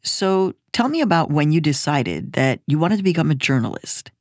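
A woman's voice: low-pitched, sing-song, normal speed, darkish tone, no echo, clean studio sound. A woman hosting a radio program, reading scripted narration and putting questions to a guest. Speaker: radio host.

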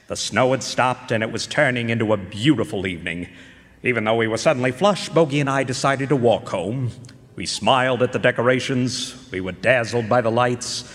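A wry private eye played by a man with a middle-pitched, animated voice. He delivers noir radio drama lines from a stage in a reverberant hall. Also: lightly acted. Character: wry, contented down-on-his-luck male private eye.

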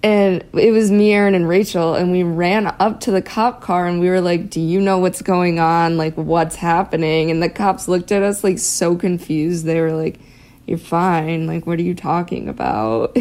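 Young American woman, slightly low pitch, chatty, casual first-person recollection. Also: a little mellow. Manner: chatty, peppered with "like".

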